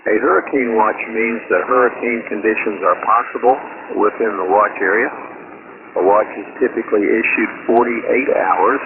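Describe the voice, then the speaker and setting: Tinny, noisy shortwave radio, low-voiced man. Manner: halting, stumbles and self-corrects on place names. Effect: shortwave ham radio transmission. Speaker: amateur radio operator relaying storm advisories. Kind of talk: reading an official weather bulletin. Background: loud radio static and noise.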